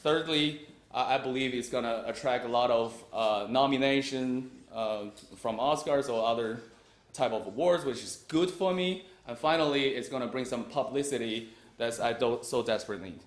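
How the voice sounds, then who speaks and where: Young man, debate speech, mid-pitched voice, halting with fillers, roomy echo. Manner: argumentative, hesitant with frequent fillers. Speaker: competitive debater. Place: university debate room. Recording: echoey room.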